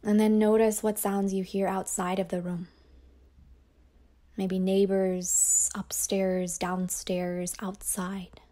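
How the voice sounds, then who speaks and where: A woman teacher guiding a yoga nidra meditation in a slow, soft voice that often drops toward a whisper. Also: mid-range voice, completely flat delivery. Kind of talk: guided meditation.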